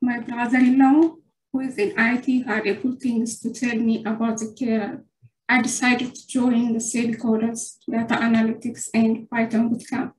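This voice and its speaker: A woman from Ethiopia reading a prepared capstone presentation over a video call with careful, steady delivery. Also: fairly high pitch, reverberant, slight background noise, rough recording. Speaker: bootcamp student presenting a capstone.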